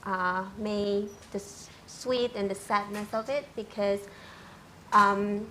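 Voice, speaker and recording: A woman's voice: medium pitch, fairly even intonation, slow pace, pushed and bright, slight background noise. Hesitant woman. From Indonesia. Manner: hesitant, with frequent ums and non-native grammar. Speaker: panelist.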